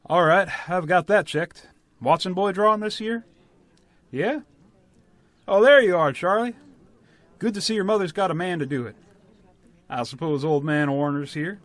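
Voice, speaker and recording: Man playing a folksy, high-voiced town official. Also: animated, fairly bright tone, no echo. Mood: genial, brisk. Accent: American. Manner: folksy, jovial, brisk. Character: genial, businesslike small-town official running a ceremony. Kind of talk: radio drama acting.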